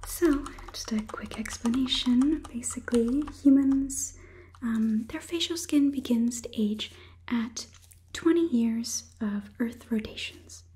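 A woman in her twenties playing a condescending, clinical female robot overlord in a soft whispered ASMR roleplay. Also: on the high side, slow, a little hiss or hum. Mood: clinical, explaining. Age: 20s. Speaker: ASMR performer. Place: small indoor studio set. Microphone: close microphone near camera.

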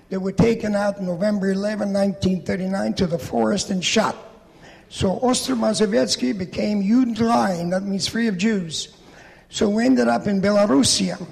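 A man's voice: sits high, creaky. A man, telling his life story steadily into a handheld microphone before an audience in a large church hall. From Poland. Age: 80s. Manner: plain, steady storytelling with gestures. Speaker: Holocaust survivor speaker. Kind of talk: testimony talk to an audience. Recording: reverberant hall.

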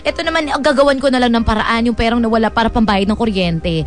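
A woman's voice: fairly high pitch, quick pace, natural voice. A woman acting in a Filipino radio drama as a meek, self-sacrificing wife and mother, hesitant, pleading and placating. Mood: anxious, apologetic. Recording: music behind the voice.

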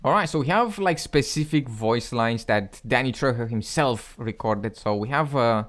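A man's voice: mid-range voice, animated, moderate pace, a bit bright. Young man doing casual game commentary. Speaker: gaming video host.

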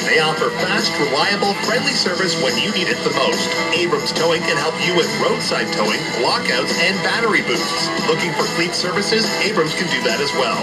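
A man reads a scripted radio commercial. His high voice moves up and down animatedly, and loud music plays behind him. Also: rough recording. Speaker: commercial announcer.

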